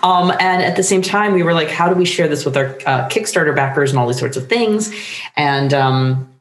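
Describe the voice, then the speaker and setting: A woman's voice: low-pitched, moderate pace, reverberant. A middle-aged woman talking in a rambling, enthusiastic way as an interview guest, on a video call from a home room. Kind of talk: casual interview chat. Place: book-lined home room, indoors.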